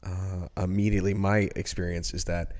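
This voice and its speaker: A man's voice: deep voice, flat delivery, moderate pace, a little mellow. A man in his early forties talking casually and reflectively as co-host of a podcast discussion. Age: early 40s.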